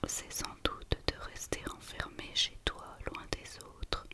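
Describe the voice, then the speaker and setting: A woman's voice: takes it slowly, sharp tone. A woman voicing a self-assured, kind little girl from a storybook, whispering in a teasing, matter-of-fact way. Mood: friendly teasing.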